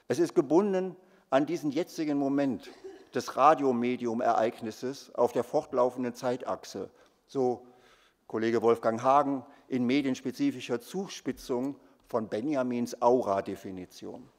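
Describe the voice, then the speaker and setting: A man in his fifties reads a formal academic lecture in a measured, emphatic way at a lectern microphone. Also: medium pitch, a little mellow. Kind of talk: formal lecture read from a script. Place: large dark theatre stage at a lectern. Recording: long hall reverb.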